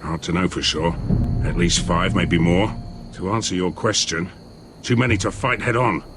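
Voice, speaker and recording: An actor voicing a gruff, foul-mouthed mercenary leader in a dramatic video game, blunt and commanding as he gives orders. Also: deep voice, fairly even intonation, normal speed, very noisy. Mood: gruff briefing. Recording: music behind the voice.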